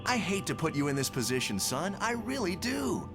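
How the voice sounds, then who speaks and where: A man's voice: sits high, very animated, normal speed, music in the background. A man playing an aggrieved working-class father in a motion comic, pressing and exasperated. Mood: pleading. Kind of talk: motion comic character acting.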